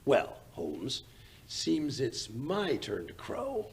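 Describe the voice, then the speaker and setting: Casting: man to play husky, blustering gentleman sidekick, earnest and flustered, swooping intonation, old-time radio style. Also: medium pitch, wildly swooping pitch, drawn-out words, full character voice. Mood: self-satisfied, earnest. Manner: blustery, hesitant. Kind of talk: 1940s radio drama acting.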